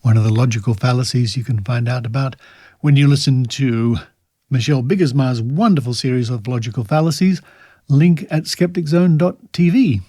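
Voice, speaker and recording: A man hosting a podcast, narrating and reading old articles aloud in a measured, deliberate way with dry asides. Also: medium pitch, mellow, no echo. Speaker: podcast host and presenter.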